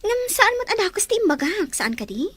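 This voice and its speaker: A woman acting a very high, playful young friend. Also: big pitch swings, fairly quick, fairly bright tone, dry recording, full character voice. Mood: teasing. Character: teasing, lively young female office worker and friend. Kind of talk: Ilocano radio drama acting.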